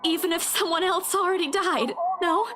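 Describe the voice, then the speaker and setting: A woman's voice: very high-pitched, fairly even intonation, normal speed. An actress playing a frightened, grieving young woman in a visual novel game, pleading and insistent. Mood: desperate, pleading. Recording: loud game music behind. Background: music.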